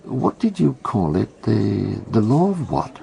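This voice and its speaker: An actor playing a shrewd, brooding British detective in a radio drama, musing in a measured way with thoughtful pauses. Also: male voice, fairly low pitch, very animated, creaky, dark tone, full character voice. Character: shrewd, brooding male detective recounting a murder trial.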